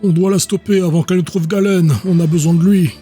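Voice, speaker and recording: A man's voice: sits high, fairly even intonation, fast, warm tone, soft background music, pitched above the performer's own voice, close to an everyday voice. A man playing an earnest, serious non-fighter urging his companions on in an audio drama. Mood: urgent, earnest. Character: earnest, urgent male non-fighter among rebels.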